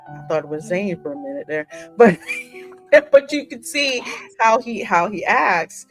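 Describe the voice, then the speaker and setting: A woman's voice: mid-range voice, big pitch swings, a little slow. A woman hosting a gaming channel, casually talking through a game's story with music behind her. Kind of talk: casual discussion of a game story.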